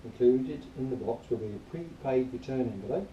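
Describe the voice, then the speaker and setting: A man giving an informal spoken review in a rambling, matter-of-fact, grumbling way, partly reading aloud, recorded quietly on a camera some distance away. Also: medium pitch, moderate pace, highs cut off. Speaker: product reviewer. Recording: distant quiet mic, roomy echo, faint noise.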